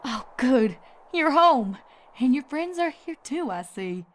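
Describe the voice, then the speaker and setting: Woman as a folksy, protective farm mare, lively pitch. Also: slow, exaggerated voice. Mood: reassuring. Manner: folksy, bossy but caring. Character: protective, responsible young adult farm mare, big sister.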